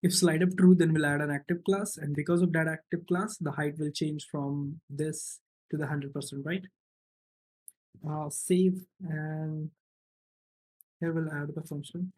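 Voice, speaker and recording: A man's voice: fairly high pitch, flat delivery, unhurried, a little mellow, rough recording. A man narrating an informal step-by-step screencast as a programming instructor, often checking in with "right" or "okay".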